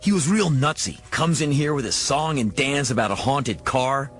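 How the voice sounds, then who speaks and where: A man's voice: mid-range voice, soft background music, close to an everyday voice. A man playing a slick but rattled American used-car salesman boss in a radio drama, slangy and exclamatory. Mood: agitated. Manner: slangy, fast-talking, exclamatory.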